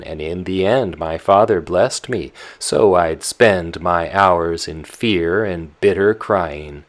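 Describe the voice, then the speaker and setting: A man voicing a fussy, devout old peasant nurse woman who exclaims fretfully. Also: low-pitched.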